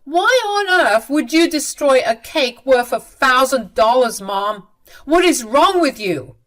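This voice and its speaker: A woman's voice: mid-range voice, animated, moderate pace, a bit bright, close to an everyday voice. A woman voicing an angry adult son who speaks in a stern, confrontational way in an audio story. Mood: outraged. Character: angry adult son, firmly defending his wife.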